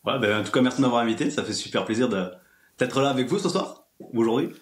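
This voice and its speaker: A man's voice: mid-range voice, fast talker. A man in his twenties from France chatting casually as a podcast guest, explaining things in an informal way. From France, small village. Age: 20s. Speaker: interview guest, ASMR video creator. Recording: roomy, echoey sound.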